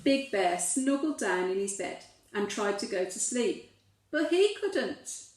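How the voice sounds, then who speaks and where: A woman reading a storybook expressively to young children, pausing to put gentle questions to the listeners. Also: medium pitch, sing-song, echoey room.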